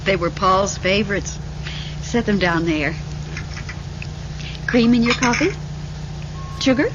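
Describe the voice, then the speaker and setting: A woman's voice: medium pitch, animated, slow. A woman playing a chatty, sentimental widow in an old-time radio drama. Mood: hospitable, wistful. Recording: muffled old radio recording, vehicle noise.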